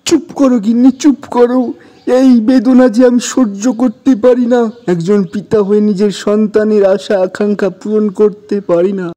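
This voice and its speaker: A man's voice: high voice, steady tone, measured pace, dark tone. A man voicing a poor, emotional cowherd father in a cartoon, with a plaintive, melodramatic delivery. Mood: anguished pleading. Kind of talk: Bengali moral-story cartoon dialogue.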